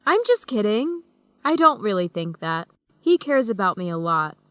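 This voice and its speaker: An actress playing a friendly, teasing young American woman in an educational radio drama, speaking clearly and deliberately. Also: mid-range voice, sing-song, a bit bright, no room sound, studio quality. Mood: playful, reassuring.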